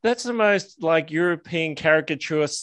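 A man with an Australian accent hosting an interview, asking questions in a halting way with many repeated words. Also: fairly high pitch, fairly bright tone, no echo. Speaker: podcast host.

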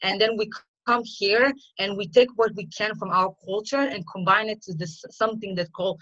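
A woman's voice: mid-range voice, fairly even intonation, moderate pace, pushed and bright. Enthusiastic woman interviewer, muffled sound. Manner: enthusiastic, searching for words. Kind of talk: interview questions.

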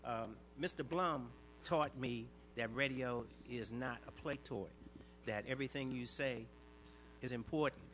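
A man's voice: fairly bright tone, a little hiss or hum. Older man, veteran radio voice. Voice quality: fairly high, light voice. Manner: inflects words, talks in quick bursts with restarts. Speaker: radio disc jockey guest. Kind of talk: interview answers, reminiscing.

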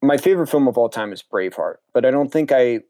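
A man's voice: medium pitch, expressive, fast, dark tone, dry recording. Enthusiastic young man, dry sound. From Connecticut, USA. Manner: rambling, restarts often, enthusiastic. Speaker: filmmaker guest. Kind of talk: casual interview.

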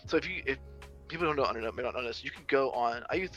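A young adult man talks casually, circling back and restarting his sentences as he works through a point. His voice is bright and forceful, and faint music sits in the background. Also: mid-range voice, expressive, fast talker, highs cut off. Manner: rambling with many restarts and fillers. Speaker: social media creator on biblical masculinity. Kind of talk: casual conversation.

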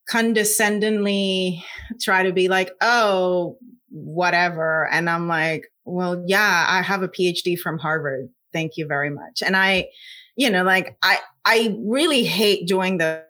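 A woman's voice: lowish voice, sharp tone. A woman from Puerto Rico with a Puerto Rican accent, a scientist speaking earnestly in an interview, with frequent fillers. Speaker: scientist guest. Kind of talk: conversational interview.

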